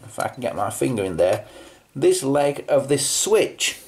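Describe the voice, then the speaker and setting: A man's voice: mid-range voice, sing-song, a little slow, a little mellow. A British man giving an unscripted hands-on show-and-tell, musing as he goes and posing rhetorical questions. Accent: British English. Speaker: presenter of a vintage telephone video.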